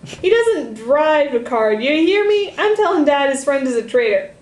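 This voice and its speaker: A woman voicing a hapless, excitable, dim brother in a comic story, flustered and exclamatory. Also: high voice, normal speed. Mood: indignant. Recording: roomy echo with noise.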